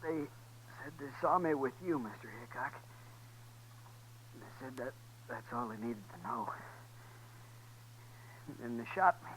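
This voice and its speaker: A man's voice: medium pitch, unhurried, pauses often, a bit bright, close to an everyday voice. An actor playing a jumpy, cowardly man in a 1950s radio western, stammering and hesitant. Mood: weak, dying. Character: jumpy, cowardly man, frightened reluctant witness. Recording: noisy old radio recording with hum.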